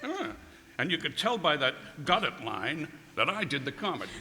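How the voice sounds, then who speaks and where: A man's voice: fairly high pitch, very animated, moderate pace, pushed and bright, full character voice. A man playing a flustered, boastful straight man in a 1940s radio comedy, stammering and repeating himself. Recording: echoey stage hall, background noise.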